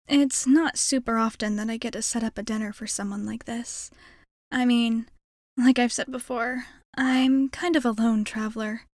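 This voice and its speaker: A woman's voice: a little high, no room sound, clean studio sound, natural voice. A woman playing a shy, nervously hopeful young alien space traveler in an ASMR roleplay, rambling hesitantly and putting herself down. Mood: relieved, glad. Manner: hesitant, rambling, self-effacing. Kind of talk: ASMR roleplay, intimate character monologue.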